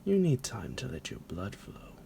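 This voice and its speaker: A man playing a centuries-old psychotic vampire captor in an ASMR roleplay, possessive and mock-tender, speaking theatrically in a breathy, gravelly whisper that drops into a low creak. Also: huge pitch swings, mellow, slight background noise, heavily acted. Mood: possessive, teasing. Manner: slow, theatrical, menacingly affectionate, chuckling. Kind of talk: ASMR roleplay addressed to the listener.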